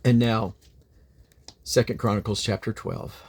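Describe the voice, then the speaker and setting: Man reading scripture aloud in deliberate phrases. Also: fairly low pitch, fairly even intonation, breathy edge. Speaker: Bible reader.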